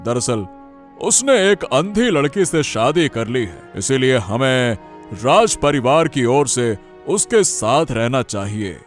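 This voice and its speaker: A principled, commanding cartoon king, played by a man. His voice sits at an ordinary male pitch and moves slowly and deliberately, with lordly firmness. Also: very animated, faint music behind. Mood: thoughtful. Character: principled, authoritative king, firm with his queen. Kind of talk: Hindi cartoon dialogue acting.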